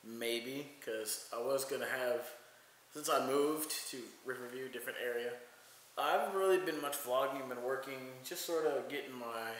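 Rambling vlogger man in echoey room. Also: mid-range voice. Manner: rambling, relaxed, lots of fillers. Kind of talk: casual home vlog. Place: home bedroom and living room, indoors. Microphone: handheld phone camera at arm's length. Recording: very echoey bare room, faint noise.

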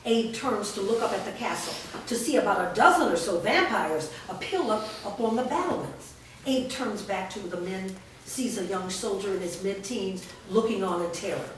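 A woman narrating stage directions at a staged script reading, in a measured, descriptive manner. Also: mid-range voice, expressive. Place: large bare room with small audience, indoors. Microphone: distant camera microphone. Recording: echoey room, distant mic, faint noise.

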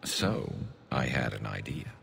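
A man playing a wry, cocky, loyal alien sharpshooter in a video game, with gravelly, flanged voice and dry teasing banter. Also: very deep voice, big pitch swings, measured pace, fairly bright tone, slight background noise, full character voice. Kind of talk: video game dialogue acting.

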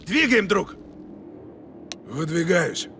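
A man voicing a gruff, commanding special forces soldier giving terse, urgent radio commands in a video game. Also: high-pitched, big pitch swings, very slow, background noise, heavily acted. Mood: focused. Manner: terse, urgent, barked military callouts.

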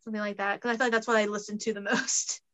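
Bright, chatty young woman. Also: medium pitch, little pitch movement. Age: late 20s. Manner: chatty, laughs often, quick asides. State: pregnant. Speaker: guest costumer. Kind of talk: casual video-call chat. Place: home room, indoors. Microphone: video call.

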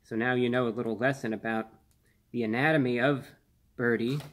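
A man in his twenties hosting a casual food review on camera, speaking in an earnest, measured way with slightly formal phrasing. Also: medium pitch, steady tone. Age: 20s. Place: small indoor room.

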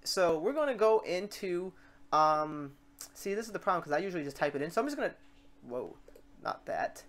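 A tutorial host with a mid-range voice walks through software steps in a casual way. The delivery is informal and unscripted, thinking aloud and self-correcting while working. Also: medium pitch, very animated, a little fast. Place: voice-over on a screen recording.

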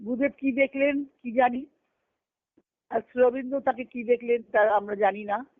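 A woman giving a devotional discourse on a conference call, telling stories earnestly and slowing down to recite scripture verses. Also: fairly high pitch, fairly even intonation, dry recording. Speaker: spiritual discourse speaker. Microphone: phone on a conference call. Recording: tinny phone line, dry.

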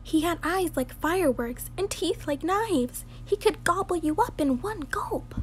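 A woman's voice: very high voice, animated, measured pace, voice pushed up. A young woman voicing a reassuring, curious little bear cub in a children's storybook. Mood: awed. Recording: home recording with clear background noise.

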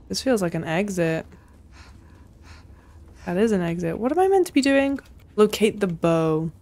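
Slow-paced young woman streaming a video game. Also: mid-range voice, darkish tone, slight background noise. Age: young adult. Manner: playful, chatty, reactive. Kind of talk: casual gameplay commentary. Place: small indoor room at home.

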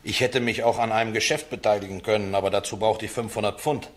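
An actor playing a defensive, down-to-earth accused man in a radio play, speaking haltingly and colloquially. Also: on the low side, fairly even intonation, natural voice. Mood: frank, halting.

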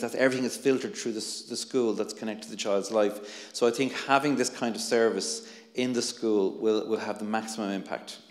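A middle-aged Irish man on a conference panel, earnestly talking on a handheld mic with many restarts and fillers. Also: lowish voice. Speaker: panelist, anti-bullying researcher. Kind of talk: panel discussion. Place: conference hall, seated on stage. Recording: echoey hall with long reverb.

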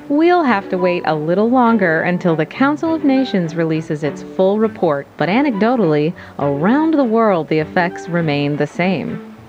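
A woman plays a composed local news anchor. Her voice is on the low side and brisk, with dulled broadcast sound and clear music behind it. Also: highs cut off, natural voice. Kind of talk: news reading.

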